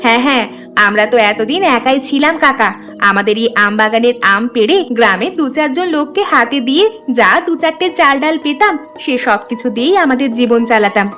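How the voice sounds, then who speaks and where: A woman's voice: fairly high pitch, animated, fairly quick, bright, forceful tone, soft background music. A woman voicing a trusting, kindly and polite young village girl in a cartoon story. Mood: grateful.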